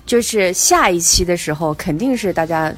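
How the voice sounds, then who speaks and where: A woman's voice: fairly low pitch, animated, normal speed. A woman co-hosting a podcast, chatting casually in an amused, talkative way. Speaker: podcast co-host. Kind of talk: casual chat.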